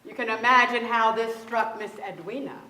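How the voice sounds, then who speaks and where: A middle-aged woman giving a dramatic literary reading on stage, deliberate and theatrical in her phrasing. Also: on the high side, pushed and bright. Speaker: stage actress, reader. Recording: very echoey theater, distant microphone.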